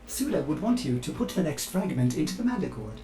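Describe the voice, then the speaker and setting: Earnest game AI servant: polite, anxious, excitable, very lively intonation. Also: male voice, fairly high pitch, quick pace. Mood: excited, hopeful. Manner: polite, fretful, formal. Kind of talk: dramatic video game voice acting. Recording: game music behind the voice.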